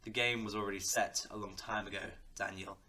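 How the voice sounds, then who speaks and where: A man's voice: lowish voice, little pitch movement, fairly quick, pushed and bright. A man playing a smug, sadistic crime boss in an audio drama, taunting theatrically and slipping into menacing whispers. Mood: smug menace. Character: smug, sadistic male crime-boss villain who threatens softly. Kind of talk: audio roleplay drama acting.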